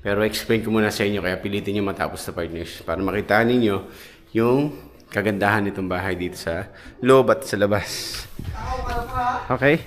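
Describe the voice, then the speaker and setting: A man's voice: lowish voice, normal speed. A man in his thirties, a contractor, chattily walking viewers through a renovated house, filming himself with a handheld camera. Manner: chatty, points things out with repeated fillers. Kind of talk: casual walkthrough vlog. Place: outdoors on a street and inside an empty house. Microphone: handheld action camera.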